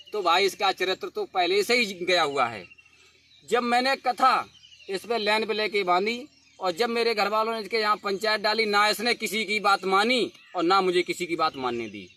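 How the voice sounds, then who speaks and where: A man's voice: sits high, bright, forceful tone. A young man, talking insistently and aggrieved as he defends himself to listeners. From Uttar Pradesh, India. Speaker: dholak player defending himself. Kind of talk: emotional self-recorded statement.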